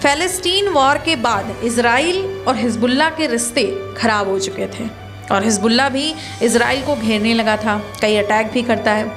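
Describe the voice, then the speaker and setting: Lady doing explainer narration, high voice, bit of music under it. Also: a bit bright. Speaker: video presenter. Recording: music bed behind voice.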